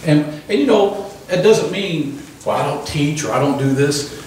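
A man's voice: on the high side, animated, moderate pace, a little mellow, a little hiss or hum. Older man preaching in an echoey hall. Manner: emphatic preaching with call-and-response amens. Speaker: pastor. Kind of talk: sermon. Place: small church hall, indoors. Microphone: handheld microphone. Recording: echoey room from a distant camera.

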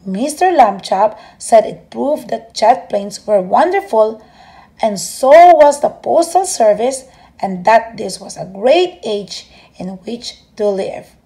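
A woman reading a children's bedtime story aloud as an expressive storyteller. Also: fairly high pitch, takes it slowly, gravelly.

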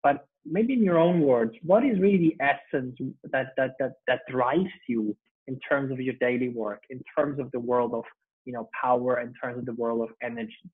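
A man's voice: mid-range voice, normal speed, breathy edge. A man hosting an interview show over a video call, enthusiastic, with long rambling questions full of fillers. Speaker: podcast host. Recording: thin phone-like video call audio.

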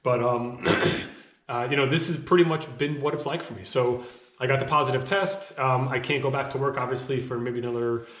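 A man in his thirties talking casually to camera from a small home office, rambling a bit with fillers, his voice slightly hoarse from a cough. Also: medium pitch, steady tone, moderate pace, fairly bright tone. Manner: conversational, rambling with fillers and restarts. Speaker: vlogger. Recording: echoey room.

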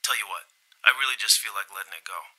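A man's voice: lowish voice, quick pace, very noisy, natural voice. An actor playing a reluctant, curt man who brushes people off on the phone, in an audio drama. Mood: curt, dismissive. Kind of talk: naturalistic audio drama acting.

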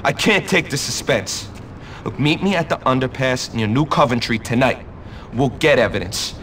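A man's voice: mid-range voice, moderate pace, a bit bright, slight background noise, close to an everyday voice. A man playing a jealous, paranoid teenage greaser gang leader, agitated and melodramatic, in a video game cutscene. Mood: scheming. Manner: agitated, melodramatic, tough-talking.

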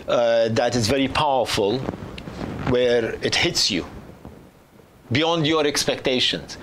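A man's voice: medium pitch, slight background noise, low-quality recording. A man in his fifties, a filmmaker guest, answering students' questions and explaining at length with many restarts. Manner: animated, gesturing, explaining with restarts. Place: small studio set with sofa. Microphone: lapel.

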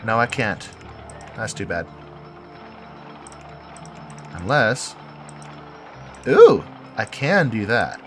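A man's voice: lowish voice, big pitch swings, extremely slow, long pauses, soft background music. A man with a head cold and a nasal, stuffy voice gives casual commentary while building in a game, thinking aloud and correcting himself. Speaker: game video creator.

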